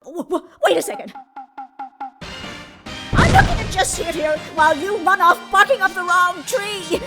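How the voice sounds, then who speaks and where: A woman's voice: very high-pitched, animated, moderate pace, music in the background. A woman voicing a cantankerous old female security guard in a courtroom game, scolding and blustering with indignant stammers. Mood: outraged. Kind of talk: dubbed courtroom comedy game acting.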